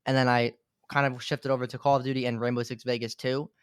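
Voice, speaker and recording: A man in his twenties, a guest on a podcast, talking in a relaxed way with lots of filler words. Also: medium pitch, fast, clear, resonant tone, no echo, studio quality. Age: 20s. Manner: relaxed, fills talk with "like". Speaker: podcast guest, gaming content creator. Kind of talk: casual interview chat.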